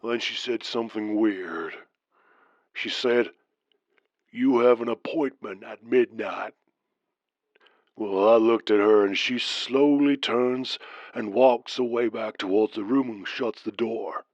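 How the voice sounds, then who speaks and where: A man playing a folksy Southern trucker who calls a late-night radio show, telling an eerie tale in a slow, drawn-out manner. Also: lowish voice. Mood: ominous, reflective. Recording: muffled, roomy sound.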